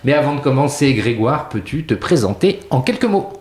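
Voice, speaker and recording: A man hosting a podcast interview, talking with lively, expressive intonation. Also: mid-range voice, a little fast. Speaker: podcast host. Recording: roomy echo.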